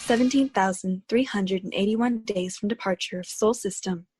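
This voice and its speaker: A woman's voice: medium pitch, normal speed, over loud music, natural voice. Woman acting a formal spaceship computer. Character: spaceship computer giving status reports. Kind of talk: audio drama reading.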